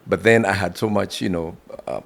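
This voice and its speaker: Low gravelly Kenyan man. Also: normal speed. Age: middle-aged. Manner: animated, repeats "you know" and "yes, yes". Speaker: guest. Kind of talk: casual storytelling interview.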